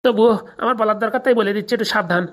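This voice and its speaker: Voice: medium pitch, rapid-fire, close to an everyday voice. A performer voicing a sly, grumbling village shopkeeper in a comedy cartoon, chatty and muttering, with a rural Bengali dialect. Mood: chatty, insistent. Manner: chatty, muttering asides, cautioning.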